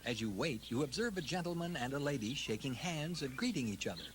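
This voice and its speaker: A man narrates an instructional tape with a mid-range voice. His delivery is very animated and presentational, inviting the listener along. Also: mid-range voice, fairly quick, background noise. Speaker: language-lesson narrator.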